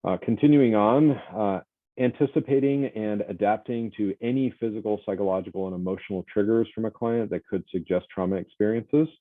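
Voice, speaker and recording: Deep-voiced man, loud lecturing, tinny audio. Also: brisk, no echo. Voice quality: loud, projecting voice. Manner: energetic, conversational, with many fillers and self-corrections. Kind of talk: recorded college lecture. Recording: dry, phone-like lo-fi audio.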